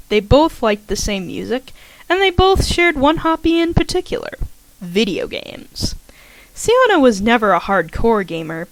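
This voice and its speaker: Woman, amateur audiobook narration, steady lowered reading voice, mid pitch, dry close mic. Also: no echo, poor audio. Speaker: fanfiction reader.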